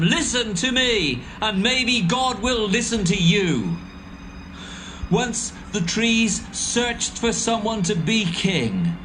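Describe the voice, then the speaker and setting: A defiant young man calling out the leaders of a town in an audio Bible drama. His voice sits high and carries an impassioned, accusing edge. A music score plays underneath him. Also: rough recording.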